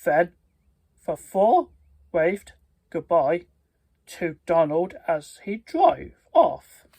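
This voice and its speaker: A storybook reader and video host with a halting, careful delivery and stumbles, reading aloud in a husky voice. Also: medium pitch, very animated, mellow, no room sound. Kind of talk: read-aloud storybook narration.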